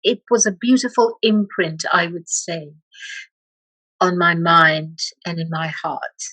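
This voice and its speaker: A middle-aged woman from Malaysia, a meditation teacher speaking as an interview guest, slow and hesitant with many pauses and restarts. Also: lowish voice, fairly even intonation, pushed and bright, no echo, rough recording. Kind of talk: reflective interview talk.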